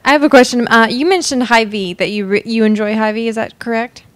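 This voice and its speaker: A woman's voice: a little high, fairly quick, a little hiss or hum. A woman in the audience asking the presenter questions, hesitant and correcting herself as she goes. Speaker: audience member.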